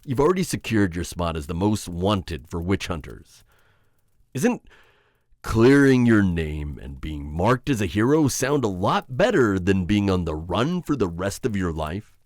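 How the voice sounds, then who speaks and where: A deep-voiced witch hunter for hire, played by a man, blunt and scornful, with a loose swearing edge. He speaks slowly with a lively, swinging pitch. The voice is dry and close to the mic. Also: no room sound. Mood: coaxing. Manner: blunt and sarcastic, with swearing and scoffing laughs. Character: gruff mocking male witch hunter, a poor hired mercenary. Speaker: ASMR roleplay performer. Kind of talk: ASMR roleplay acting.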